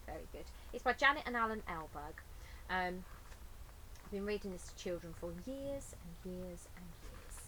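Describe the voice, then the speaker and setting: A woman's voice: lowish voice, animated, normal speed. Woman reading to children, with background noise. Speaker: children's story reader. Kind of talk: informal chat and expressive storybook reading for children. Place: inside a garden greenhouse. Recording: clear ambient background noise.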